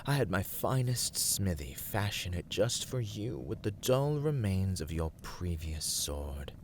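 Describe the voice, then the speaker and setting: A man playing a smug young villain warlord in an ASMR roleplay, teasing and condescending in formal, deliberate phrases. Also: sits low, sing-song, a little hiss or hum, exaggerated voice. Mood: teasing, menacing. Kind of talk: ASMR roleplay, scripted dramatic monologue.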